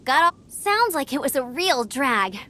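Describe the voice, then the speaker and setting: A woman's voice: high voice, very animated, unhurried, background noise. A woman voicing a cheerful, bubbly young glider champion in a video game, with an eager, informal manner. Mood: confiding, dreading. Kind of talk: animated video game character dialogue. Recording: game music behind the voice. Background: game music.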